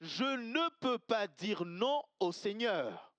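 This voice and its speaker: Steadfast devout woman, voiced by a man, high and earnest, dry close sound. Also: very animated, takes it slowly, a bit bright, no room sound, muffled recording, exaggerated voice. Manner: earnest, reasoning aloud in first person.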